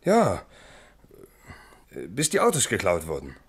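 A sheepish but blunt former convict. The voice sits fairly low and moves expressively, with colloquial phrasing in the style of a radio crime play. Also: male voice, huge pitch swings, slow pace, gravelly, slightly rough, exaggerated voice. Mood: confessing. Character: blunt, sheepish male ex-convict amateur sleuth. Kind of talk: German radio crime drama acting.

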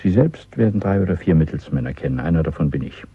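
Gravelly, deep-voiced stern conspirator. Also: male voice, expressive, dry recording, heavily acted. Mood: grave, instructing. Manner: measured, formal, commanding interrogator. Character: stern, controlled male conspirator and party official. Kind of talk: German radio play acting. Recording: muffled, narrow-band dry radio recording.

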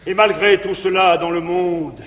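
A man playing a jealous middle-aged guardian in declaimed stage verse, swinging from tender pleading to shouting, in a reverberant 1959 theatre recording. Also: high voice, fairly even intonation, a little slow. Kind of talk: 1950s French stage verse acting.

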